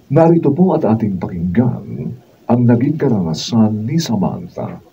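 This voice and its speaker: A man with a Filipino accent narrating a radio drama in a solemn, measured scripted style. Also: lowish voice, very animated, mellow, husky. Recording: distant, dull, faint noise.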